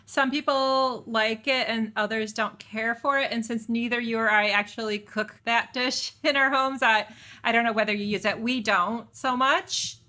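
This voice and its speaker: A woman with a Midwestern American accent co-hosting a cooking podcast, speaking with warm, self-deprecating enthusiasm. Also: fairly high pitch, steady tone, normal speed. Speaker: podcast co-host. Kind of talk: casual chat.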